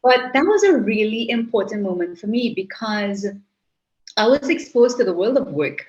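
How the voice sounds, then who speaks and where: A woman's voice: medium pitch, big pitch swings, normal speed, darkish tone. A middle-aged Trinidadian woman, a guest in an interview, speaking reflectively with frequent restarts. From Trinidad and Tobago. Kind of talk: interview conversation. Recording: slightly muffled audio.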